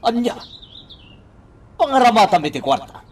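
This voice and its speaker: An actor playing a worried, agitated husband in a radio drama, incredulous and emphatic. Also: male voice, very high voice, very animated, normal speed, husky, recorded from a distance, exaggerated voice. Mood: demanding. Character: agitated, worried husband questioning his wife.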